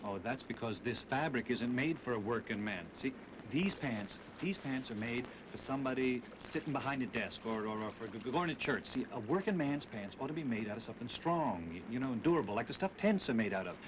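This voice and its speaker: A man plays an earnest frontier working man in a TV western. His voice is mid-pitched, with a slight stammer. There is clear tape noise. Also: expressive, brisk, natural voice. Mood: earnest, eager. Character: earnest, inventive Old West working man. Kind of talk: television western acting. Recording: noisy VHS tape transfer.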